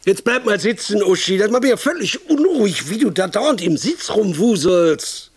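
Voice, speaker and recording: A tactless, pushy pitchman in a radio play, with a highish male voice that swings widely up and down. He rambles insistently, cuts himself off and bursts into emphasis. The sound is close and dry. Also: expressive, normal speed, husky, dry recording, full character voice. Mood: agitated, pleading. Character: brash, pushy male film idea man, tactless and excitable. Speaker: film pitcher. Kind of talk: radio drama monologue, colloquial film pitch.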